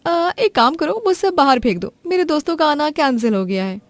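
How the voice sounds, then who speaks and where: A woman's voice: high voice, big pitch swings, fairly quick, no room sound, voice pushed up. Sly, bossy elder sister-in-law, played by a woman, dry sound.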